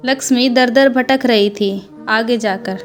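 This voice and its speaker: A woman narrates a scripted cartoon moral tale in a fairly high voice. Music plays under her storybook delivery. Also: a little slow. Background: background music.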